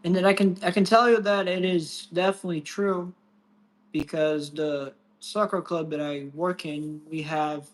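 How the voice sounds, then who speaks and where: A man's voice: fairly high pitch, steady tone, normal speed, low-quality recording. A young man from Brazil chatting casually as a podcast co-host, loose and profane, often restarting his sentences. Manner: loose, swearing, rambling with restarts. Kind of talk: casual chat.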